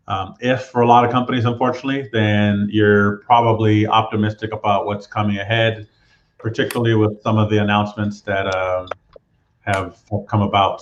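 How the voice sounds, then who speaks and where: A man from Southern California talks through his career in a relaxed, meandering way. His voice sits low and barely rises or falls. The sound is dull and roomy, like a video call from an echoing room. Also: a little hiss or hum. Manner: relaxed, roundabout storytelling. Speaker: guest. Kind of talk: casual interview storytelling.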